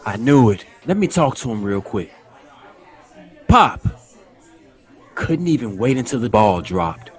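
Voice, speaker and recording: Teasing, impatient party friend, husky mid-range voice with lively swoops; radio drama. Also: male voice, mid-range voice, measured pace, pauses often. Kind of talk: audio drama acting.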